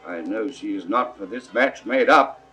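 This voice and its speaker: An actor playing a stately French king in a 1960s Shakespeare audio drama, with measured, drawn-out, declamatory phrasing. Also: fairly low pitch, sharp tone, highs cut off, faint music behind. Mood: regal, proclaiming. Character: stately king of France, weighing a treaty.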